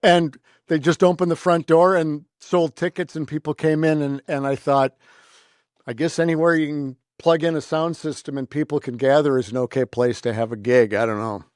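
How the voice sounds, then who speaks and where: A man's voice: a little high, steady tone, moderate pace, a little mellow, no echo, studio quality. A middle-aged American man hosting a podcast in a chatty, rambling, informal way. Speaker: podcast host. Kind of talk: casual conversational interviewing.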